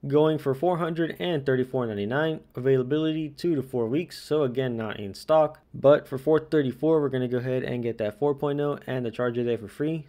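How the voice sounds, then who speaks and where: A man's voice: medium pitch, a little mellow. A man doing an informal, matter-of-fact voice-over as a host running through product deals. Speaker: tool deal reviewer and channel host. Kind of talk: casual product deal rundown voice-over.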